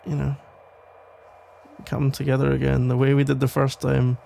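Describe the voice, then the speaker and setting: Male actor for protective police officer ex, ASMR roleplay: very creaky, gravelly voice, tender, halting, soothing delivery over faint background noise. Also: mid-range voice, monotone, mellow. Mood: reassuring, careful. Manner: hesitant, reassuring, halting phrases with pauses. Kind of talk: intimate ASMR roleplay, soft conversational acting.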